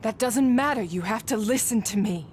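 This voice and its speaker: A woman's voice: fairly high pitch, normal speed, a little hiss or hum, natural voice. A woman playing a driven, sarcastic female federal agent in a video game drama. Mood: sarcastic, restless. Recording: loud music behind the voice. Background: music.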